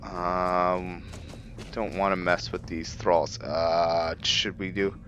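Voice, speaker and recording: A man with a low voice talks slowly through a roleplay game, casually thinking aloud and pausing to find his words. Faint game ambience sits behind him. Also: little pitch movement, a bit bright, muffled recording, a little hiss or hum. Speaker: gaming video host. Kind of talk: casual roleplay gameplay commentary.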